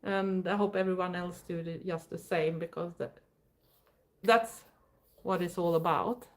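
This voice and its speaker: A woman's voice: lowish voice, fairly even intonation, a little slow. A Swedish woman with a Swedish accent, English her second language, chatting casually on a live stream and answering viewers' comments. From Sweden. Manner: chatty, rambling, with frequent fillers and soft laughs. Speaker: livestream host.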